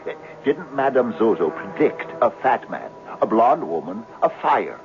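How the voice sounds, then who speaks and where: An actor playing an earnest, superstitious friend in a 1970s radio drama, arguing insistently that everything is fate. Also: male voice, medium pitch, a little slow. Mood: placating. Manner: insistent, persuasive, animated. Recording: muffled, hissy 1970s radio broadcast recording.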